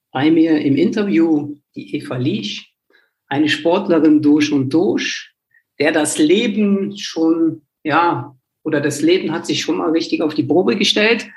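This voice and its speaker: A man hosting a casual interview show, speaking in halting, rambling phrases. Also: a little high, roomy sound, poor audio.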